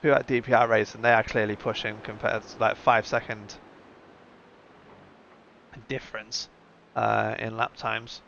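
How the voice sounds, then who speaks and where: A man's voice: on the low side, little pitch movement, moderate pace, gravelly, long pauses, fairly bright tone. A man hosting a live sim racing stream with casual, conversational commentary, thinking aloud as he goes. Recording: muffled lo-fi audio with background noise.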